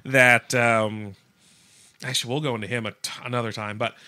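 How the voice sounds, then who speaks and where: A man with a bright, forward voice at an ordinary male pitch, recorded dry and close. He talks like a radio co-host in loose conversation, explaining things bluntly and colloquially. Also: fairly even intonation, normal speed, no room sound. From Canada. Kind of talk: casual chat, informally explaining.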